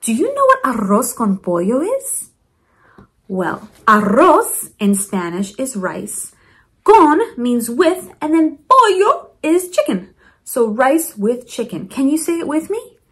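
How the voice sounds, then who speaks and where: A woman reading a storybook aloud to children in an expressive, encouraging way, with teaching asides. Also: high-pitched, slow pace. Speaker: principal reading a picture book to children.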